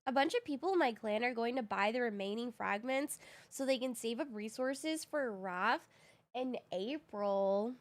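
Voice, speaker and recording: A high, slightly groggy woman's voice you would hear on a laid-back game stream, chatting informally with viewers soon after waking. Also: lively intonation, moderate pace. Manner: slangy, informal. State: groggy voice, recently woken. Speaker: live streamer. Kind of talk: casual gaming stream chat.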